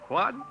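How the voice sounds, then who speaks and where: An actor playing a puzzled middle-aged hotel manager in a 1950s radio drama, speaking slowly and deliberately. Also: male voice, bright, forceful tone, full character voice. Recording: dull lo-fi 1950 radio broadcast.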